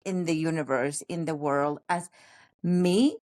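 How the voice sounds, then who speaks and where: A woman's voice: low voice, darkish tone. A middle-aged woman, raised in Paraguay with Spanish as her first language, talking earnestly and reflectively as a podcast guest. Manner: reflective, earnest, pauses with fillers. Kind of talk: casual conversational interview.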